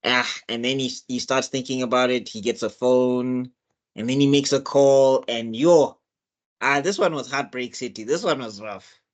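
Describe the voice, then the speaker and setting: Male co-host, loose rambling recap delivery, mid pitch. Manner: rambling, halting with many fillers and restarts. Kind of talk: casual chat.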